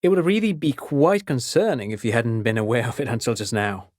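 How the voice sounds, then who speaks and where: A man's voice: medium pitch, fairly quick, darkish tone, dry recording, clean studio sound, natural voice. A male actor playing a polite, enthusiastic, pedantic intellectual in a video game, explaining things in an erudite, courteous way. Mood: courteous, musing. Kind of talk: video game dialogue acting.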